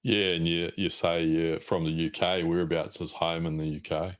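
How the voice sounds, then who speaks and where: A man's voice: low voice, flat delivery, moderate pace. A man from New Zealand with a New Zealand accent, hosting a podcast interview in a folksy, rambling way, full of uhs. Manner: folksy, colloquial, uh-filled rambling. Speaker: podcast host. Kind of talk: casual interview talk.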